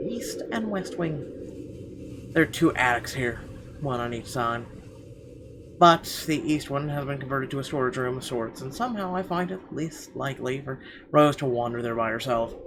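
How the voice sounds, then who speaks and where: Male let's player, medium pitch, dramatized first-person visual novel reading with muttered asides, noticeably noisy recording. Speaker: video game let's player. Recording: clearly noisy background.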